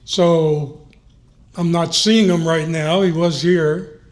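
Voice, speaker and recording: A man's voice: a little high, steady tone, slow. A man announcing an award winner at a gala, hesitant as he looks for the recipient, heard from off the microphone. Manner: hesitant, searching the crowd. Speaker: award presenter.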